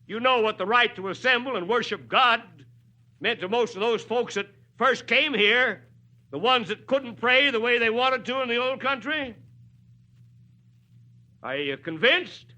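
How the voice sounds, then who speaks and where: An actor playing a folksy, firm small-town mayor addressing a rally in a 1940s radio drama, plain-spoken and emphatic. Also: male voice, high voice, sharp tone, heavily acted. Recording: old 1940s radio broadcast.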